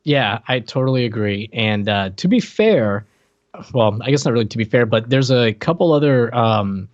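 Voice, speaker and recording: A man's voice: mid-range voice, lively intonation, normal speed. A man co-hosting a podcast in casual chat, heard through a dull, lo-fi microphone. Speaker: podcast co-host. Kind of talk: casual chat about a reality TV show.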